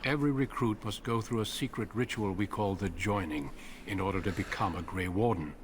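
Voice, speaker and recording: Guy doing a low-voiced, calm, secretive old warrior commander, solemn and measured. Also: background noise, close to an everyday voice. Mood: grave. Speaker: veteran Grey Warden commander. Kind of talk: video game voice acting.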